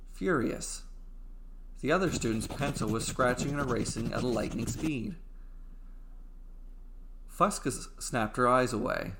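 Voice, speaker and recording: A man narrating a scripted story in a dramatic, deliberate way, with pauses between phrases. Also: mid-range voice, noisy background.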